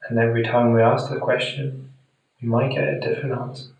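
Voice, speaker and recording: A man guiding a meditation in a soothing, deliberate manner with long pauses, speaking gently to the listener. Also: medium pitch, flat delivery, creaky. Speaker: meditation guide. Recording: very echoey room, distant mic.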